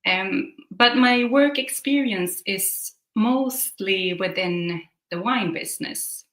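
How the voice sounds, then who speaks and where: Bright young Swedish woman on a video call. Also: mid-range voice, slow pace, echoey room. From Sweden. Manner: hesitant, many "uh" and "um". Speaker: guest, new translator.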